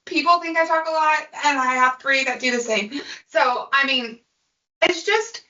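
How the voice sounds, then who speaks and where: A woman podcast host, chatty and animated, talking in a casual conversation. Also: sits high, normal speed, roomy sound, lo-fi sound.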